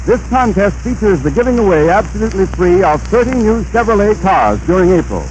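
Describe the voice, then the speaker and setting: A man's voice: high-pitched, very animated, brisk, darkish tone, muffled recording, a little hiss or hum. A man announcing on a 1930s radio show, delivering a sponsor message in a formal, persuasive style on an old broadcast recording.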